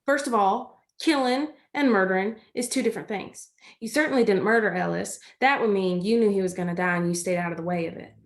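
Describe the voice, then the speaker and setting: A woman's voice: medium pitch, fairly quick, hardly any pauses, close to an everyday voice. An actress playing a loyal, reassuring young country woman in a stage play reading, plain-spoken and earnest. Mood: earnest reasoning. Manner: folksy, earnest, reasoning things out.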